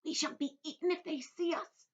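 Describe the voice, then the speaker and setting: A woman voicing a timid, fretful blind earthworm in a frightened, high, squealing whisper. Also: fairly even intonation, moderate pace, close to an everyday voice. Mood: scolding. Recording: dull lo-fi recording.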